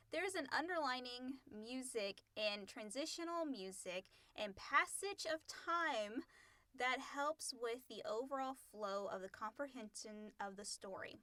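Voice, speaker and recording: A woman's voice: fairly high pitch, sharp tone, no echo. A woman co-hosting a casual question and answer podcast, speaking in an informal conversational style. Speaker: podcast co-host reading listener questions. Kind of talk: casual Q&A chat with read-out questions.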